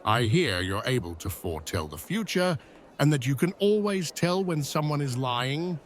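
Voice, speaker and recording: A man playing a grand, excitable Roman emperor in a fantasy audio drama, given to flustered stammering. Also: mid-range voice, moderate pace, lightly acted. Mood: curious, playful. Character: excitable, easily swayed male Roman emperor. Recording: faint music underneath. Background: music.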